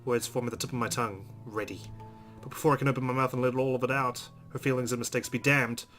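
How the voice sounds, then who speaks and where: A man's voice: mid-range voice, fairly even intonation, fast, fairly bright tone. A man hosting a let's play, reading visual novel narration aloud expressively with casual asides. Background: game music.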